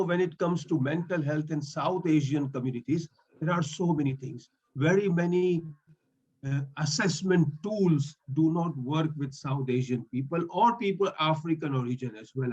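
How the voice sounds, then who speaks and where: A man's voice: on the high side, normal speed, a little mellow, husky, muffled recording. A man in his fifties whose first language is Swahili, speaking earnestly in non-native English as a mental health link worker in an online meeting. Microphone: video call.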